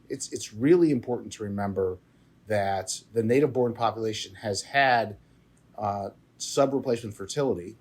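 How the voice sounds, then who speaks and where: A man's voice: on the low side, a little mellow, slightly rough. A man answering as the economist guest in an interview, emphatic, often repeating words as he restarts.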